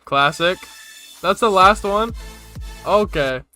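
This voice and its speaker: A man's voice: high-pitched, animated, slow, pushed and bright, soft background music. A man hosting a reaction video with casual, slangy, informal commentary.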